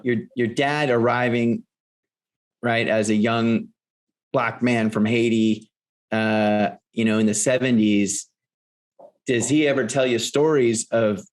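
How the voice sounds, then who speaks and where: Low-voiced male podcast host. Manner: rambling, halting questions with many fillers. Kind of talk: interview questions.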